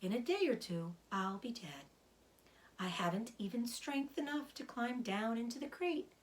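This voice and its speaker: A woman voicing a gentle, weary, dying female spider in a children's storybook, softly and slowly, often near a whisper. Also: on the high side, animated, lightly acted. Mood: weak, resigned. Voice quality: soft, breathy, often whispered. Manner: slow, measured, soothing delivery. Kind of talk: children's storybook read-aloud.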